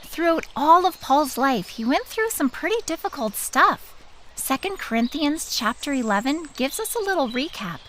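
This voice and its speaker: Kindly, upbeat female ranger for kids' audio, played by a woman; high, expressive storytelling. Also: moderate pace, a little hiss or hum. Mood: animated. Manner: animated, explanatory. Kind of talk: children's audio drama storytelling.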